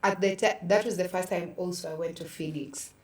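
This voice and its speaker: Low-voiced Kenyan woman in a roomy space. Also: fairly even intonation, brisk, a little mellow. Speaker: interview guest, theatre practitioner. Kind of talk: casual conversational storytelling. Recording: roomy echo, distant from mic.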